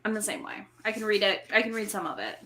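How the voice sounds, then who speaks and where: A woman chatting casually with friends on a live show, telling stories in an animated way. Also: mid-range voice, fast talker, a little hiss or hum. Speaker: guest on a group live show. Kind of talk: casual chat, animated storytelling.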